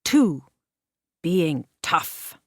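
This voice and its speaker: A woman reading a children's picture book aloud in an expressive, measured narration with deliberate dramatic pauses. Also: sits low, breathy edge, no room sound, studio quality. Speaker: children's storybook reader.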